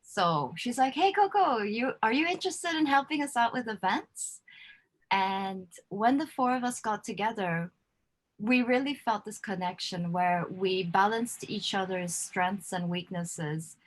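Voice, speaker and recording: A woman hosting a casual live interview over a video call, chatty and quick to laugh, with many fillers. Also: medium pitch. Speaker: host.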